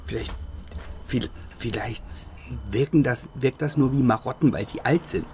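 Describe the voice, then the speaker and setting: A man's voice: mid-range voice, moderate pace, darkish tone, slight background noise. Joking, rambling man on a podcast. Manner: joking, rambling, laughs often. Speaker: podcast co-host. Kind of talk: casual chat.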